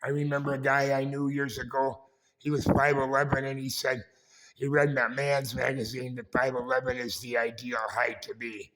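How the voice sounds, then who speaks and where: A man hosting a casual vlog with a raspy voice, talking in a halting, rambling way and correcting himself as he goes. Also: mid-range voice, flat delivery, fairly bright tone, poor audio. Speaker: vlog host. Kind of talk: casual walking-tour vlog. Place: indoors in a historic building.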